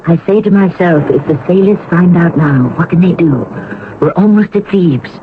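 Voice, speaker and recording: A woman's voice: on the low side, normal speed, phone-quality sound, lightly acted. An actress playing a bold young queen disguised as a stowaway boy in a 1970s radio drama. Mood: confident, reassuring. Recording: band-limited, noisy old radio recording.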